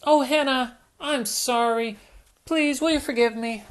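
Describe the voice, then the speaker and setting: A woman voicing a cheerful little girl pig who pleads and apologizes in a high, breathless voice. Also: a little slow. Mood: pleading.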